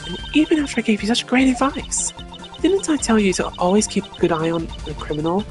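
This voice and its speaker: A woman's voice: medium pitch, very animated, a little fast, darkish tone, soft background music, lightly acted. A woman voicing a gloating female master thief and killer in a video game, theatrical and mocking.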